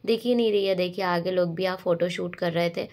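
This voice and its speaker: A young woman, hoarse from a cold, chatting to viewers in a casual vlog voice-over. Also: on the low side, fairly even intonation, a little fast, a little mellow. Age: young adult. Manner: chatty, addressing viewers directly. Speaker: vlogger and dance choreographer.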